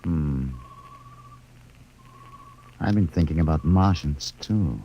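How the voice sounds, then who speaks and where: An actor playing a grim, guarded scientist and camp survivor in 1950s radio drama style, speaking deliberately and haltingly. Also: male voice, rumbling low, highs cut off, a little hiss or hum, full character voice. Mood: brooding suspicion. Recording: old radio recording with music underneath.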